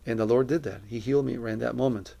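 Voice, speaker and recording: A middle-aged man from Colombia speaking as a missionary, giving a personal testimony in an earnest, reflective, deliberate way. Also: medium pitch, creaky, dark tone.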